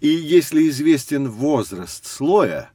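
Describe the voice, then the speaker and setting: A man playing a patient, learned guide who teaches children in scripted educational audio narration, didactic and measured. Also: a little high, big pitch swings, mellow, husky, dry recording, exaggerated voice. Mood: encouraging, instructive.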